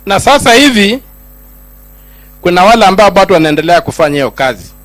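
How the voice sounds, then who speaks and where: A middle-aged Kenyan man addresses a gathering as a senator, his voice sitting high for a man. He builds his points in rising, emphatic lines and shifts between Swahili and English. The sound is dry and close, with a faint mains hum underneath. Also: big pitch swings, quick pace, fairly bright tone, dry recording. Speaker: senator giving a speech. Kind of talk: public speech at a gathering.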